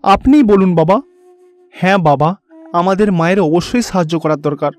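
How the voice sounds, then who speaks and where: A man's voice: high-pitched, moderate pace, a little mellow, natural voice. A man voicing a devoted young boy god in a cartoon, speaking in an earnest, pleading way.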